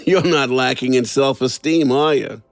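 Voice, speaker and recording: An actor playing an unhinged, resentful and cruel man with a gravelly voice and a sardonic manner in a horror audio drama. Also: medium pitch, fairly even intonation, normal speed, dry recording, natural voice. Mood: sardonic, wary. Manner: sardonic, erratic swoops.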